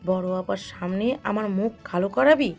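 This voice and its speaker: A woman's voice: mid-range voice, moderate pace, vocal fry, a little mellow, close to an everyday voice. Woman as a stern, worried mother over music. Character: worried, stern mother, dutiful younger sister-in-law. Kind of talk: audio story acting. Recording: music behind the voice.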